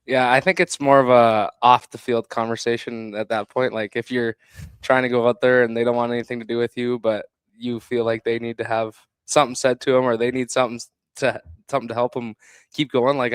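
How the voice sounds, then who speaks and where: A man's voice: medium pitch, clear, resonant tone, no room sound. A young man, a coach, chatting casually as an interview guest in an easygoing, dryly joking manner.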